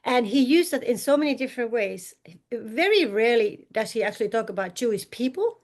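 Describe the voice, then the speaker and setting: Finnish-accented woman scholar, thoughtful and halting. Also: a little high, animated, darkish tone. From Finland. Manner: thoughtful, halting, frequent restarts, light laughs. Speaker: scholar and teacher. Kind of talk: academic podcast discussion.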